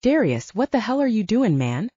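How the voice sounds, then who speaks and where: A woman's voice: on the high side, very animated, normal speed, dark tone, husky, no echo, dull audio, voice pushed up. Woman voicing an exasperated male soldier playing peacemaker. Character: exasperated male soldiers trying to calm a fight.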